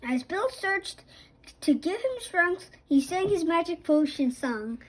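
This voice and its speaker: Female storybook narrator for children: very high pitch, unhurried, a little halting. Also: very animated, rough recording.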